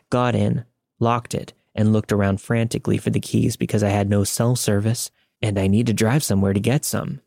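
A man's voice: on the low side, gravelly, darkish tone, no echo, clean studio sound. A man reading a scripted first-person scary story as a podcast narrator. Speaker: podcast host reading listener stories.

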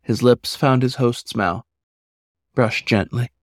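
Male narrator, scripted fiction reading, measured delivery, middle pitch, very little room sound. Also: dry recording, clean studio sound. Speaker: author reading own story.

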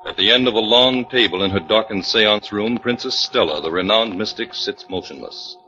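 A man's voice: fairly low pitch, normal speed, sharp tone, faint music behind. A man narrating a mystery drama as a 1940s radio announcer, dramatic and emphatic. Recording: dull old 1940s radio recording.

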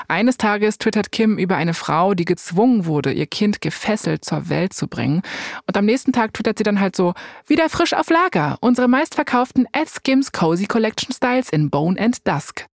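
A woman's voice: medium pitch, lively intonation, fairly quick, no echo, clean studio sound. A young woman hosting a podcast, telling a scripted story in a colloquial, wry way with casual asides and bits of English slang. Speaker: podcast host. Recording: music bed behind the voice. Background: music.

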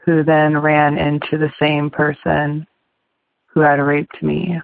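Speaker, gravelly, slow, flat, steady testimony, dry phone-like sound. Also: medium pitch, no room sound. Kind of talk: personal testimony. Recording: dry, tinny phone-like recording.

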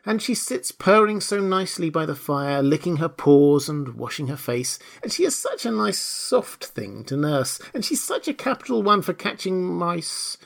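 A man's voice: high-pitched, sing-song, normal speed, gravelly, hardly any pauses, voice pushed up, exaggerated voice. A man voicing a polite, chatty little girl in a storybook, earnest, eager and a bit dreamy. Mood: fond chatter. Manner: eager, apologetic, musing. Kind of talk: storybook character voice.

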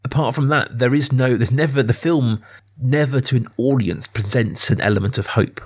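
Male podcast host, average pitch, informal and thoughtful film talk, repeats words to stress points. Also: normal speed, husky. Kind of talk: casual film discussion.

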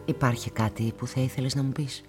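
An actor playing a polite police investigator in a radio play, asking questions in a courteous, hesitant, formal way. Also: male voice, medium pitch, steady tone, natural voice. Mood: gently probing. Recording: music under the voice.

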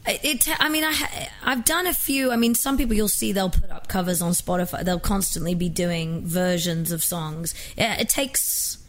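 A woman's voice: fairly low pitch, moderate pace, hardly any pauses. A young woman from England talks in a loose, conversational way, her English accent worn toward American. She is chatty and self-deprecating, and she often trips over and restarts her sentences. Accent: mixed English and American. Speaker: singer guest. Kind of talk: casual interview chat.

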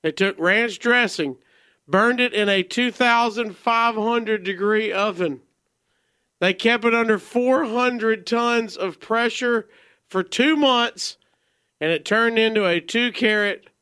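A man's voice: high voice, pushed and bright, smooth, no echo, clean studio sound. A man chatting on a radio show and telling a jokey story. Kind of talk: casual chat, storytelling.

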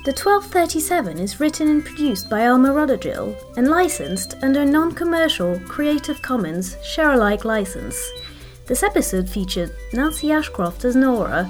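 Woman w a highish voice doing scripted podcast credits over music. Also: sing-song, normal speed. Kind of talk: scripted narration.

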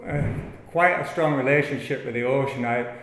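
A man with a northern English accent, a guest telling his life story in a halting, rambling way with many restarts. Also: medium pitch, a bit bright, a little hiss or hum. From north of England. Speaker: yoga studio owner, guest. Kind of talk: casual interview, rambling storytelling. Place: yoga studio. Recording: echoey studio room, long reverb.

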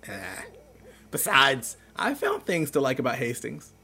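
A man playing a caring, teasing middle-aged uncle in a naturalistic audio drama, speaking in a gentle, wry, reassuring way. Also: medium pitch, huge pitch swings, unhurried, creaky, exaggerated voice.

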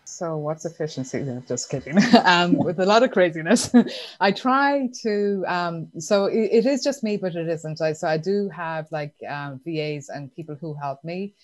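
A woman with an Irish accent, chatting as a guest in an interview, laughing easily and filling pauses with "um" and "like". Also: on the low side, lively intonation. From Ireland. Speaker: guest entrepreneur. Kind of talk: casual interview answers.

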